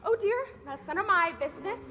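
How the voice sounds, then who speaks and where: A woman playing a very high-pitched, saucy maidservant. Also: lively intonation, brisk, pushed and bright, heavily acted. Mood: mocking. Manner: cheeky, exclamatory back-talk. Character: saucy, defiant, mocking maidservant. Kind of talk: theatrical comedy stage acting. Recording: echoey hall with faint noise.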